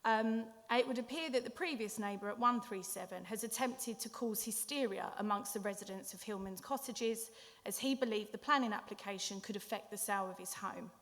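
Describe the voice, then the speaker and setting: A woman's voice: medium pitch, brisk, a bit bright. Firm woman in a reverberant chamber. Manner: firm, reads points in turn. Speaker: planning applicant. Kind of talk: prepared statement at a council meeting. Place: council chamber. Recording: long hall reverb.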